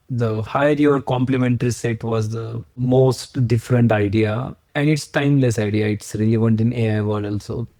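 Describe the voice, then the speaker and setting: A man's voice: medium pitch, darkish tone, no echo. A man in his forties from India with an Indian accent, a podcast guest who explains his points earnestly, step by step, with examples. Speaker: agency founder, podcast guest. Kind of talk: podcast interview.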